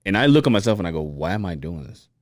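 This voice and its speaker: Lively animated man in casual podcast chat. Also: low voice, racing, no echo, studio quality. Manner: animated storyteller, swears freely, laughs often. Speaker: podcast co-host.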